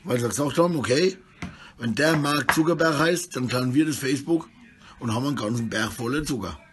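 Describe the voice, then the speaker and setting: A middle-aged man with a husky voice, rambling disjointedly in a selfie monologue recorded indoors on a handheld phone. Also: mid-range voice, fairly quick, fairly bright tone, slight background noise. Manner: rambling, disjointed, often tags sentences with questions. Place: small room indoors. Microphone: phone held at arm's length.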